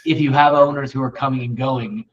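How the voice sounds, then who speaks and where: A man's voice: medium pitch, fairly even intonation, brisk, recorded from a distance. A man's mid-range voice comes from a little way off the microphone, with a dull, lo-fi sound. He is hosting a conversational interview, asking and commenting in a rambling, agreeable manner. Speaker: interviewer, real estate host.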